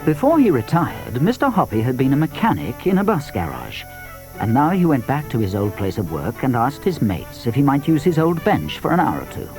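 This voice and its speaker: Male British narrator for a children's audiobook: expressive, animated delivery in a medium register, light music behind. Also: medium pitch, brisk, low-quality recording. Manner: expressive storytelling. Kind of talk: scripted children's story narration.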